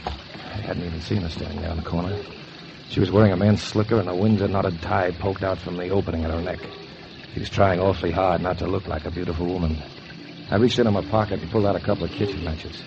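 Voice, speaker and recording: A man's voice: low voice, fairly even intonation, fast talker, creaky. An actor playing a down-on-his-luck painter who narrates in wry, hard-boiled 1940s radio noir style. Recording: noisy, muffled 1940s radio recording.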